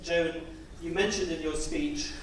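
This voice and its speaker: A man's voice: a little high, very animated, unhurried. A man politician hosting the event, introducing speakers in a chatty, offhand way. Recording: echoey hall, far mic, loud crowd and noise. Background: loud crowd.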